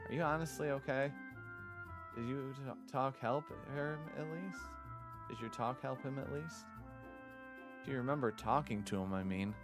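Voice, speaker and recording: A man's voice: mid-range voice, normal speed, pauses often, close to an everyday voice. A man voicing a blunt, concerned school friend in a visual novel, with a questioning manner. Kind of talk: visual novel character read-aloud. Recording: music behind the voice.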